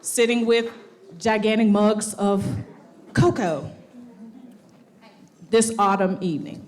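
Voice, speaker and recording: A woman's voice: medium pitch, slow. A woman hosting a live indoor event, introducing a segment in a playful, teasing way. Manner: playful, warmly teasing the audience. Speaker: event emcee.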